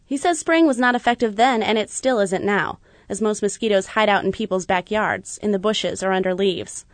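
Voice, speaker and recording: A woman radio reporter narrating a scripted news feature in a measured, even delivery. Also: medium pitch, dry recording.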